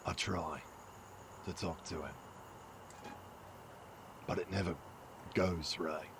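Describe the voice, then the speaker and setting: An actor playing a gruff, awkward middle-aged father with a gravelly voice and terse, halting speech in an audio drama. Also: sits low, steady tone, background noise. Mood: subdued, regretful.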